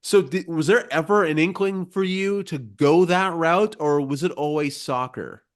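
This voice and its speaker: A man's voice: a little high, measured pace. A man hosting a podcast, asking questions in a casual, curious, informal way. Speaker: podcast host, interviewer. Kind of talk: casual conversational interviewing.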